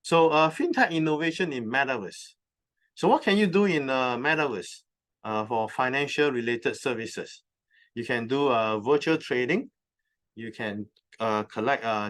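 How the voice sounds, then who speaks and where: Man, conference speaker, halting delivery, frequent fillers, mid-pitched voice, lecture presentation. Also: a bit bright. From recently moved from North America. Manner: halting, many "uh" fillers, explains terms step by step. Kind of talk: presentation talk. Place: conference venue.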